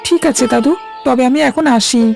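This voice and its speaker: A woman's voice: sits high, normal speed, gravelly. A woman voicing a timid, anxious young boy in a cartoon story. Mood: timid, eager. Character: timid young boy visiting his grandfather. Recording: music under the voice.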